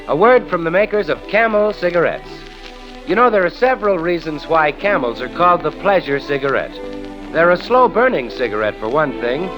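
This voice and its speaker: A man's voice: fairly high pitch, poor audio. A man with an American accent announcing and selling in polished, emphatic 1930s radio style, on a vintage broadcast.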